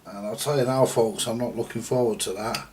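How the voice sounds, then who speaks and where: A man giving a casual, halting, mildly sweary thinking-aloud commentary as he does hands-on repair work, often muttering to himself. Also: medium pitch, fairly even intonation, a little mellow, a little hiss or hum. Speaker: repairer presenting a hands-on video. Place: indoor repair workbench.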